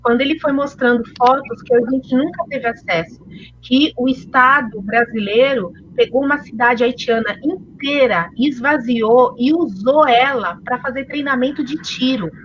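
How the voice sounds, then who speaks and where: A woman's voice: high-pitched, normal speed, fairly bright tone, highs cut off, slight background noise. A Brazilian woman speaking earnestly and with feeling in a panel discussion. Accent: Brazilian Portuguese. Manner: earnest, emotional, recommends readings.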